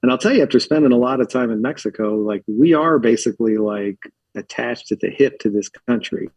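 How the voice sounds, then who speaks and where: A man from Philadelphia chats as a podcast guest. His voice is husky and breathy, and the sound is close and dry. He rambles through stories packed with filler words. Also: mid-range voice, brisk, a little mellow, dry recording, lo-fi sound. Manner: rambling, filler-heavy, uses lots of likes. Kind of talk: casual storytelling chat.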